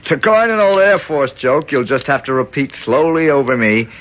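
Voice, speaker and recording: A man's voice: medium pitch, moderate pace, a bit bright, a little hiss or hum, lightly acted. A man playing a resolute, commanding, wry hero in a 1970s radio drama. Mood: wry, determined. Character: resolute male hero planning a daring escape.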